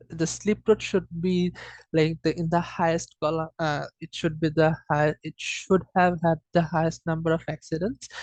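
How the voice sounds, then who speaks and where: Slow, halting student presenter, close dry sound. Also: medium pitch, a little mellow, dry recording, rough recording. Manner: hesitant, halting, with frequent repeats and self-corrections. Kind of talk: informal slide presentation of data.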